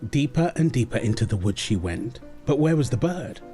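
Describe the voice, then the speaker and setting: A man playing a gentle young dad getting his baby girl to sleep. His voice is mellow and soft, with a lively, swooping melody that turns playful and coaxing. It has the intimate feel of a comfort roleplay and storybook reading. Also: mid-range voice, brisk, faint music behind, lightly acted. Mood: storytelling. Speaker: audio roleplay performer.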